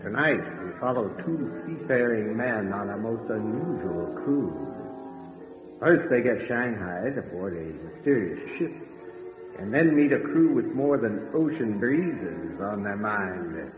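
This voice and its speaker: A man's voice: lowish voice, lively intonation, unhurried, music in the background. A man playing a ghoulish, gleefully macabre radio horror host, with a theatrical, punning delivery. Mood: macabre glee. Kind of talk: old-time radio horror introduction. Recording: dull radio sound with long reverb.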